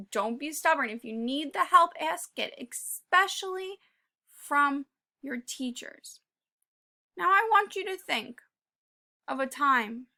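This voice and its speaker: A woman reading a children's picture book aloud, pausing to talk to young listeners in an encouraging way and sometimes dropping to a whisper. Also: very high voice. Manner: drops to a whisper at quiet moments. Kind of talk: read-aloud with commentary for kids.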